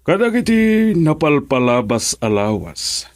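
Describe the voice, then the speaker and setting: A man's voice: on the high side, sing-song, a little slow, no room sound, studio quality. An actor playing a fatherly middle-aged man with a grown daughter in an Ilocano radio drama. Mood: reproachful.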